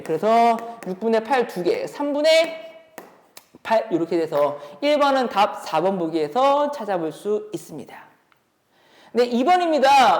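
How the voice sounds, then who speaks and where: A woman gives a step-by-step math lecture in a high-pitched, very animated voice. She often turns her explanations into questions as she works. The room adds heavy echo and a long reverb. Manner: instructive, poses questions while working through steps. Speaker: math academy instructor.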